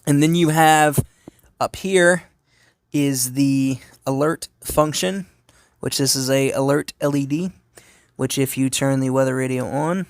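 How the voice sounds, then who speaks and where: A man's voice: mid-range voice, steady tone, unhurried, smooth, dry recording. A man giving a casual, unscripted hands-on product review in an explanatory way, as a hobbyist. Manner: explanatory, asks and answers own questions. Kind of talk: casual unscripted gadget demonstration. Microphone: phone camera held while demonstrating.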